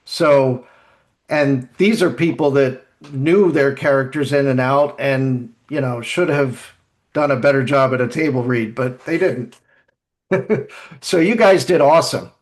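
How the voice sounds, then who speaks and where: A man hosting a podcast in casual discussion, speaking in a halting way and repeating phrases as he goes. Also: mid-range voice. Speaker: podcast host.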